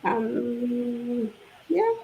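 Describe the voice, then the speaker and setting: A woman's voice: on the high side, lively intonation, very slow, muffled recording, a little hiss or hum. A woman guest on a livestream chat, introducing herself in a brief, shy way. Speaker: guest, healthcare worker.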